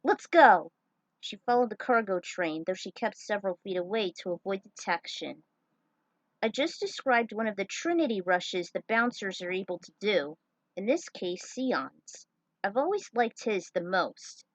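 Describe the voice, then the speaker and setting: A woman's voice: medium pitch, animated, normal speed, dry recording, rough recording. A woman reading her own fan fiction and adding casual, opinionated commentary as its writer.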